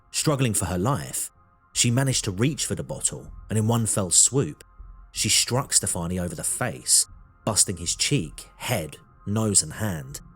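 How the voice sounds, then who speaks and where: A man narrating a scripted true crime documentary in a measured, dramatic storytelling manner. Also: sits low, breathy edge.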